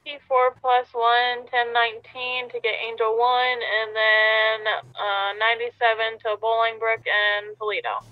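A woman's voice: on the high side, level tone, unhurried, few pauses, smooth, no room sound, soft background music. A woman playing an emergency responder in a role-play game, mixing casual chat with radio call-outs. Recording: dull voice-chat audio with background noise.

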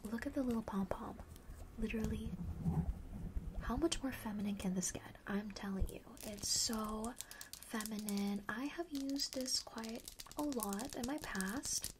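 A young woman playing a friendly witch perfume seller in an ASMR roleplay, whispering softly and breathily close to the microphone in a casual, slangy, reassuring way. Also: on the high side, a little slow, noisy background, natural voice. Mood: encouraging, friendly. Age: young adult. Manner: casual, slangy, gently coaxing. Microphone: close large studio microphone.

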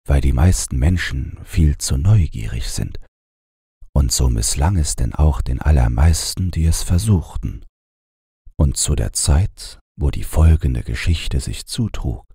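A man narrating a bedtime fairy tale in a gravelly, husky voice, with a measured, unhurried storyteller's delivery. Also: extremely low pitch, warm tone, no room sound, studio quality. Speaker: audiobook narrator.

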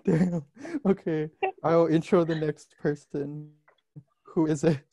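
A young woman with a notably low, dark-toned voice presents in casual chat. The video call sound is dry and close. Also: steady tone, unhurried, no echo. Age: young adult. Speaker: presenter at an online graduation.

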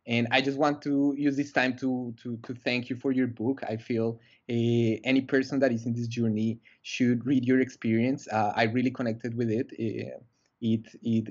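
A man's voice: mid-range voice, muffled recording. Earnest young man on a video call. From Colombia. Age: young adult. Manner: earnest, halting with many fillers and restarts. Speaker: guest. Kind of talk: casual video-call interview. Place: small room indoors. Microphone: headset on a video call.

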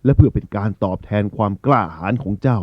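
A man's voice: on the low side, fast, dry recording, dull audio, close to an everyday voice. A man playing an authoritative yet caring eagle who rules the birds in a children's story, addressing his gathering with command. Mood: consoling, solemn.

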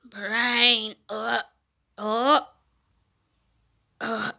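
A woman voicing a slow, hungry zombie that craves brains in a drawn-out moan, in homemade pretend play. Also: a little high, level tone, vocal fry, sharp tone, pitched below the performer's own voice, full character voice.

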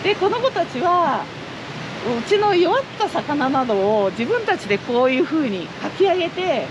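A woman's voice: high voice, moderate pace, lo-fi sound, noisy background. A middle-aged woman who runs a trout farm, talking earnestly and chattily outdoors beside fish ponds in an interview. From Japan. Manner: lively, chatty and earnest, with many fillers.

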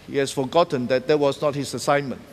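A man's voice: mid-range voice, fairly even intonation, fast talker. A middle-aged man preaching a sermon as pastor, emphatic and repeating key words as he paces the stage. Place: large church stage decorated for Christmas. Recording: long hall reverb.

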